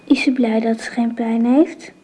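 High, breathy little girl. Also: flat delivery, a little slow, dark tone. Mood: innocent, timid. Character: small innocent girl asking her papa questions. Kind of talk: radio play acting.

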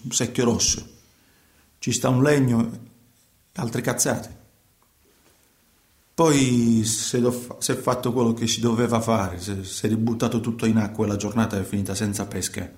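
Troubled male fisherman played by an actor, colloquial theatrical storyteller, medium pitch, roomy radio drama sound. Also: a little mellow, close to an everyday voice. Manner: colloquial, halting, repeats words and phrases. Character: troubled working fisherman recounting life at sea.

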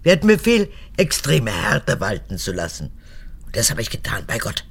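Husky, creaking old tyrant mother. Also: huge pitch swings, normal speed, heavily acted. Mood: hard, boastful. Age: older. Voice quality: husky, gravelly, low. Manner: theatrical, swinging between whispers and outbursts. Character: old unrepentant female camp guard, capricious, manipulative mother. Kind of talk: radio drama acting.

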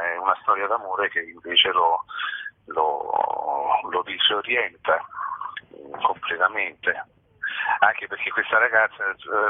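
Low, husky man on a narrow phone line. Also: dry recording. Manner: halting, thoughtful, repeats words while explaining. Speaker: stage actor interviewed. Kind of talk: spontaneous interview talk. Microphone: telephone. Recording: tinny phone line into radio.

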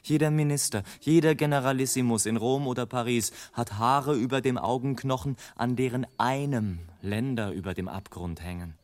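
An actor playing a scornful airship traveller in a radio play, delivering a sardonic, declamatory monologue as a bitter satirist of mankind. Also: male voice, medium pitch, moderate pace, few pauses, a little mellow, lightly acted. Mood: indignant, haranguing. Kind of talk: literary radio play monologue, late 1980s. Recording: music behind the voice. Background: music.

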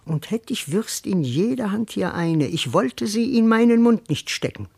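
An actor playing a grumbling, cowardly, hungry manservant in a classical verse radio drama, with theatrical delivery. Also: male voice, sits high, lively intonation, moderate pace, creaky, a little mellow, slightly rough, full character voice. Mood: bitter grumbling. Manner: theatrical, muttering then exclaiming.

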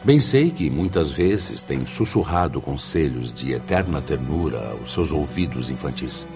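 An actor playing a grave, fatherly spirit director in a Brazilian radio drama, speaking in a solemn, measured and formal way with a Brazilian accent. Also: extremely low pitch, very animated, exaggerated voice. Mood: grave compassion. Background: music.

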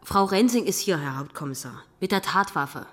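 An actress playing a brisk, sceptical police detective in a radio drama, dry and matter-of-fact. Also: female voice, fairly low pitch, lively intonation. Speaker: police investigator.

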